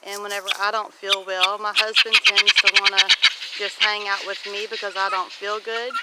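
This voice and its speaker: A woman's voice: medium pitch, flat delivery, normal speed. A woman of about fifty chatting casually as a homestead vlog host, reflective and plain-spoken. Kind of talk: casual walk-and-talk vlog. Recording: loud outdoor noise, clicks, honking geese.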